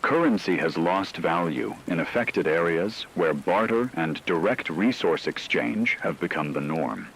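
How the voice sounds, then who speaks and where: A grave male news anchor performed by an actor delivers an apocalypse radio bulletin. His low voice is measured and formal, with faint noise behind the scripted report. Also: animated, gravelly, a bit bright, full character voice, poor audio.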